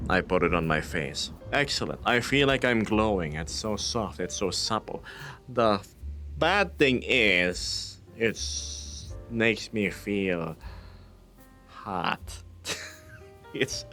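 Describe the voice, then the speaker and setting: A man's voice: lowish voice, a bit bright. A man from the Philippines hosting a casual livestream, chatting playfully with viewers and laughing often.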